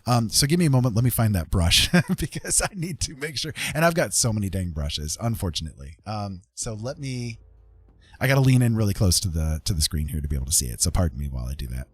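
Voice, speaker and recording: A man hosting a casual livestream, rambling informally as he demonstrates digital art, with a husky voice. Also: lowish voice, animated, fast. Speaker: livestream host.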